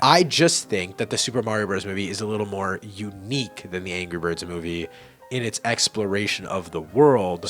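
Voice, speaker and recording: A man hosting a casual ranking video, giving blunt opinions in informal, slangy language over background music. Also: on the low side. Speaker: video host and amateur film reviewer.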